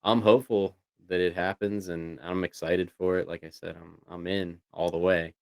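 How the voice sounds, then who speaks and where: A man's voice: low-pitched, level tone, moderate pace, gravelly, dry recording. A man chatting casually as a livestream co-host, talking in a rambling way with lots of "you know"s. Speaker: co-host of a voice tech show.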